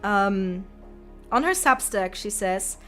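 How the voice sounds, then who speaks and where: A woman's voice: medium pitch, animated, slow, sharp tone, soft background music. A woman giving opinionated, casual video commentary in an informal, emphatic way with some swearing. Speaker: video essayist.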